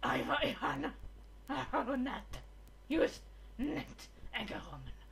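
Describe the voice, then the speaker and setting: A woman voicing an excitable, whiny young boy who gushes dramatically in an amateur comedy cartoon dub. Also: high-pitched, gravelly, full character voice. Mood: secretive, gushing. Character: excitable young boy, metal fan who secretly loves cute things.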